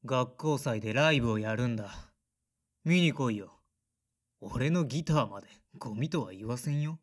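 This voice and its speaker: A man's voice: mid-range voice, normal speed, close to an everyday voice. A man playing a blunt, resentful son in his thirties, in audio drama dialogue and narration. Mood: bitter, defiant. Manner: sullen, blunt. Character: resentful 35-year-old son, former teenage rock guitarist.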